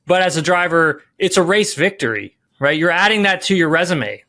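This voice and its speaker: A man's voice: sits high, expressive, normal speed, bright, forceful tone. A man giving podcast sports analysis, emphatic, rephrasing and repeating key words as he goes.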